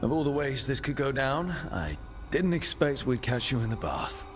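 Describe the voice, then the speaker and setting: An actor playing a level-headed, wry hero in a video game, speaking in a casual, matter-of-fact way. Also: male voice, medium pitch, sing-song, normal speed, lightly acted. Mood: wry, steady. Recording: game cutscene with loud background music.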